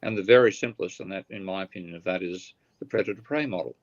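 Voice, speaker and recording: A tired man whose voice is getting croaky, explaining ideas in a live online discussion, thinking aloud with halting restarts. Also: low voice, lo-fi sound. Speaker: guest economist. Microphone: video call.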